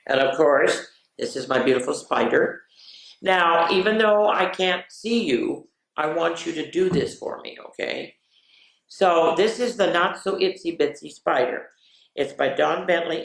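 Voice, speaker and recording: A woman reading aloud to children as a storyteller, expressive and playful, with chatty asides. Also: deep voice, gravelly. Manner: expressive, playful, drops into whispers for refrains. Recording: roomy, echoey sound.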